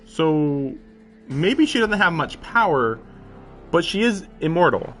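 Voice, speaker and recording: A man's voice: a little high, very animated, slow, a bit bright. A man live-streaming a visual novel, reading the narration aloud and breaking into casual, incredulous commentary with some swearing. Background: game music.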